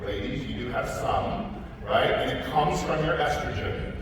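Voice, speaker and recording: A man's voice: medium pitch, animated, unhurried. A man on a panel explaining health topics with confidence, speaking straight to the audience. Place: large conference hall. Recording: echoey hall, speaker far from mic, noisy.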